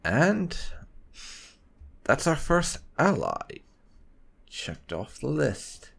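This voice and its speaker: Male gaming host, hugely varied pitch, slow pace, theatrical, wry, roleplay commentary. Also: huge pitch swings, creaky, a bit bright, slightly rough. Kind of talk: roleplay let's play commentary. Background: faint music.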